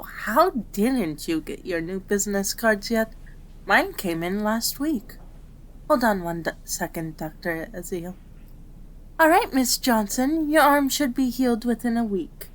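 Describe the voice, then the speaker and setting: Woman acting a prim, slow-talking fox-spirit doctor. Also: a little high. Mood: kindly. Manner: prim, chiding, easily flustered. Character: cute, flustered, caring female fox-spirit doctor. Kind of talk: ASMR roleplay acting.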